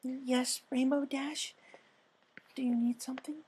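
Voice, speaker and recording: A man voicing a timid, kind female pegasus pony in a gentle, hesitant manner for a fan story reading. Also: extremely high pitch, level tone, a little slow, a little hiss or hum, pitched above the performer's own voice. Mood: gentle concern.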